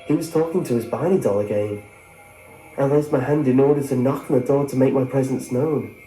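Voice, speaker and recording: A man reading a scripted first-person horror story in a measured storytelling way. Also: mid-range voice, warm tone. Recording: roomy sound with music behind. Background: background music.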